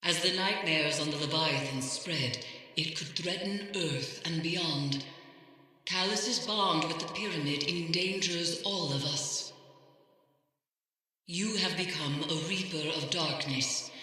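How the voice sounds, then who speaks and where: A woman's voice: deep voice, slow pace, sharp tone, exaggerated voice. A woman voicing a grave, mystical video game character who battles nightmares, speaking in a solemn, ominous and formal way. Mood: solemn, urgent. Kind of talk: dramatic video game dialogue. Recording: echoey with long hall reverb.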